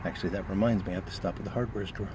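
A man's voice: low-pitched, rapid-fire. A man in his fifties chatting casually to camera while driving, rambling with lots of fillers. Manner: rambling, relaxed, frequent fillers. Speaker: vlogger. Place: inside a car, driving. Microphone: camera on the dashboard. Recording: loud car and road noise.

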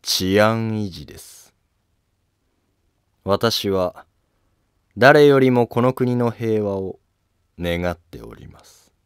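A man's voice: on the low side, expressive, slow pace, pauses often, smooth, no echo, clean studio sound. A man playing an ambitious, guarded courtier with controlled, courteous speech in a historical drama. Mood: smoothly polite. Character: ambitious male Fujiwara courtier, smoothly polite, guarded schemer. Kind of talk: scripted historical drama dialogue.

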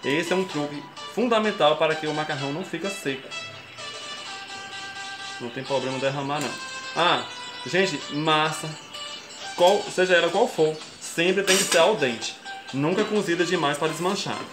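Young brazilian guy doing a cooking tutorial, kinda high voice, talks you through every step, echoey kitchen. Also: normal speed, long pauses. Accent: Brazilian Portuguese. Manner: chatty, explains each step and keeps addressing viewers as folks. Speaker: cooking show host. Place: home kitchen, indoors. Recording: echoey kitchen with background music.

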